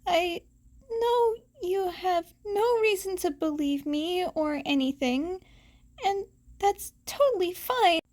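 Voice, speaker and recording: Woman acting a high-pitched, nervous teenage boy. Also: animated, slow pace, darkish tone, full character voice. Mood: nervous, tearful. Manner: hesitant, stammering. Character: nervous, guilt-ridden teenage boy, stammering.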